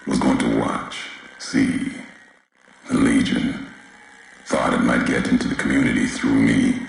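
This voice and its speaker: An actor playing a brooding, vengeful wasteland courier in a video game, speaking gravely in slow, cryptic, clipped fragments with a gravelly, very deep voice. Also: male voice, exaggerated voice. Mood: brooding, haunted. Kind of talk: video game character dialogue. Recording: heavily echoing, faint background noise.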